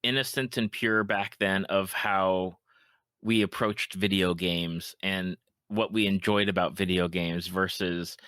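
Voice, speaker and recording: A man's voice: deep voice, pushed and bright, clear, resonant tone, no echo. A man hosting a podcast, chatting casually and agreeably about video games with enthusiasm. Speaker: podcast host.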